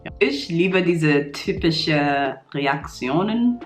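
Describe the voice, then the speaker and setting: A woman's voice: low-pitched, expressive, very slow. A woman in her twenties from New York with an American English accent, talking casually to the camera in learner's German with small slips and fillers. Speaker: vlogger. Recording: roomy echo, soft guitar music.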